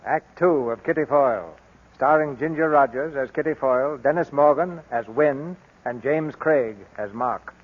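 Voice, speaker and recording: An American man narrating a 1940s radio drama in portentous, measured phrases. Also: mid-range voice. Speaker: announcer and narrator. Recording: tinny 1940s radio recording, loud music.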